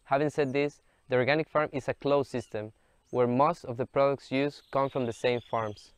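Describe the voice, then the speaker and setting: A young man, reading a scripted video narration in a steady, explanatory way. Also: mid-range voice, moderate pace, clear, resonant tone. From El Salvador. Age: young adult. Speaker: student presenter.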